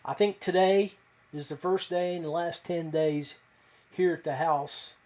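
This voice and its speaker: Male podcast host, slightly high voice, folksy and informal, thinking aloud in a halting solo monologue. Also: steady tone, darkish tone. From West Virginia. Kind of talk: casual fishing podcast monologue.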